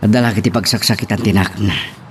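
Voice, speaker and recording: A man with an Ilocano accent acting a calm, concerned character in a family love drama. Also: lowish voice, fast, slight background noise, close to an everyday voice.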